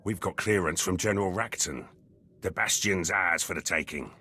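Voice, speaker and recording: A gruff special-forces lieutenant from a video game. The voice is very low and husky, with a hard, forceful edge. He speaks in clipped, cynical phrases, as if every word costs him. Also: moderate pace, full character voice. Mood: dry, matter-of-fact. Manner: terse, clipped phrases that drop words. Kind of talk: video game character dialogue.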